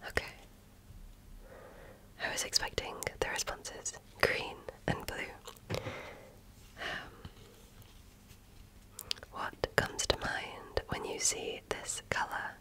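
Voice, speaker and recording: A woman performs an ASMR medical exam roleplay in a bright, crisp whisper. She speaks very slowly and attentively, in short measured phrases. Her manner is that of a gentle examiner putting questions to a patient. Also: rough recording.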